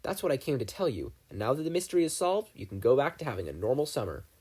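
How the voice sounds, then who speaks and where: A man's voice: mid-range voice, sing-song, fast talker, a little mellow, husky. A man voicing an eccentric, distracted older scientist uncle in an audiobook reading, cheerful and rambling. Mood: calm. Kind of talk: fan fiction audiobook reading.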